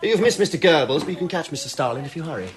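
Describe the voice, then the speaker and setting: An actor playing a snobbish, sardonic gallery director in a radio drama, arch and mocking. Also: male voice, a little high, animated, very fast, lightly acted.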